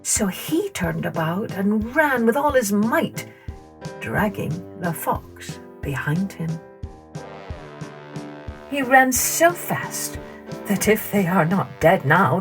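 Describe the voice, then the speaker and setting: A woman narrating a children's story in a dramatic, deliberate, expressive way. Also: mid-range voice, over music.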